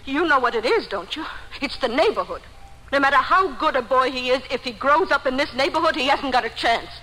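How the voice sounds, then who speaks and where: A woman's voice: high-pitched, animated, brisk, pushed and bright, heavily acted. A woman playing a protective, aggrieved mother who insists on her boy's goodness, in old-time radio drama style. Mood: bitter. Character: defensive, protective mother of a troubled boy. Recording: noisy old radio broadcast recording.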